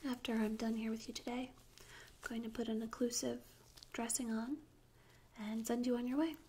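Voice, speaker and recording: A woman speaking softly and whispering in a breathy, slow, gentle way for an ASMR roleplay, playing a tattoo artist, close on a binaural microphone. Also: a little high, level tone. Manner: slow, gentle, unhurried instructions. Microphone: close binaural stereo microphone. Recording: close binaural recording with audible noise.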